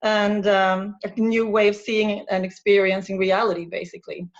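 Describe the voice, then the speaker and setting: A woman whose first language is Swedish, hosting an interview and asking questions in a soft, hesitant way. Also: mid-range voice, flat delivery, normal speed. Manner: soft-spoken, hesitant, frames questions through her own experience. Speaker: interview host. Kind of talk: casual interview. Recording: dull lo-fi sound.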